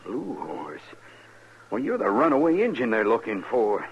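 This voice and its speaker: A sly menacing cowboy villain, folksy talk, old radio drama, dull and a bit hissy. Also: male voice, on the low side, wildly swooping pitch, moderate pace. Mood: urgent, wheedling. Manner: folksy drawn threats and sly persuasion. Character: cocky, menacing, wheedling western outlaw. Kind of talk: 1950s radio western acting. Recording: muffled 1950s radio recording with hiss.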